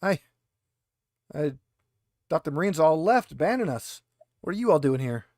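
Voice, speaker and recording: A man acts out a guarded woman bartender in her late twenties for a tabletop game. The speech is informal and halting, with stammered restarts, in a slightly raised, dry-sounding voice. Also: fairly high pitch, very animated, darkish tone, no room sound. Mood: wary.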